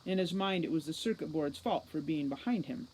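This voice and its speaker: A woman giving an expressive reading of a fantasy novel aloud, like a teacher reading to a class. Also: deep voice, fairly quick, a little hiss or hum, rough recording.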